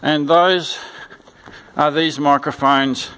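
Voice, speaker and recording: A man giving an informal, unscripted instructional walkthrough in a plain-spoken, halting way, repeating his instructions. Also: on the high side, fairly even intonation, pushed and bright, slight background noise. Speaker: church sound system instructor. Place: indoors in a church.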